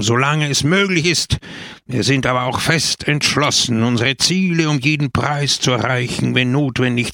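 A man voicing a hot-tempered, bullying village mayor and political agitator in a storybook, loud, blustering and declamatory. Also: medium pitch, no echo, clean studio sound.

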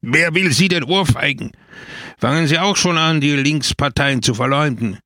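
A man voicing a hot-tempered, bullying village mayor and political agitator in a storybook, loud, blustering and declamatory. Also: medium pitch, a little slow, dry recording, clean studio sound. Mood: exasperated.

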